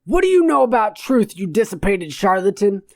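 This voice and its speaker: A woman's voice: mid-range voice, very animated, normal speed, darkish tone, no echo, clean studio sound. A woman voicing a pompous, scornful, verbose old male philosopher in an audiobook story. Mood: furious, scornful.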